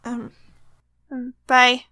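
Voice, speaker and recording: Woman voicing a high, bright, timid young skeleton. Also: completely flat delivery, drawn-out words, slight background noise, exaggerated voice. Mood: shy, polite. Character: timid, tired young male skeleton, gentle and polite. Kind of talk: audio drama acting.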